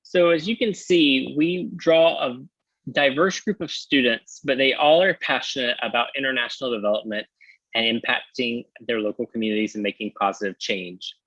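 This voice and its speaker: Bright-voiced presenter on a video call. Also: male voice, mid-range voice, normal speed, dry recording, poor audio. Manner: friendly, many filler ums. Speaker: university program representative. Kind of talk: webinar presentation.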